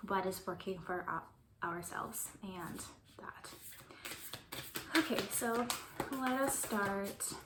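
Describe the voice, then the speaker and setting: A woman with a slight German accent, giving a casual oracle card reading in a gentle, meandering way that often trails off into soft whispers. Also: medium pitch. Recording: roomy with faint background noise.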